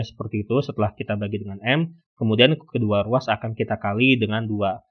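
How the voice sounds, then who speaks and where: A man tutoring, patiently walking through a physics problem and filling pauses with "ya" and "oke". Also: on the low side, breathy edge. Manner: steady, patient, with frequent "ya" and "oke" fillers. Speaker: online tutor. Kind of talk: explaining a physics problem on a whiteboard. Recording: lo-fi phone-like recording.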